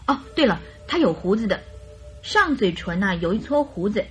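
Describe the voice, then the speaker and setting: A woman's voice: mid-range voice, sing-song, moderate pace, soft background music, natural voice. An actress playing an earnest, naive young bride-to-be in a radio drama, recounting her story in a hesitant, chatty way. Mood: shy, earnest.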